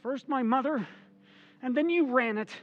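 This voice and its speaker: Scheming henpecked husband, radio horror, male actor: high, slightly dull audio, coaxing, anxious, stammering. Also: normal speed. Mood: bitter, defiant. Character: henpecked, scheming, nervous husband with false sweetness. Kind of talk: old-time radio horror acting.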